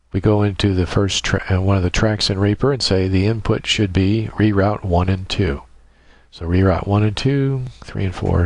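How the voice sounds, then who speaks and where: Low-voiced man giving a software tutorial. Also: no room sound. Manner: methodical, explanatory, thinking aloud while demonstrating. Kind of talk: informal step-by-step screen tutorial narration.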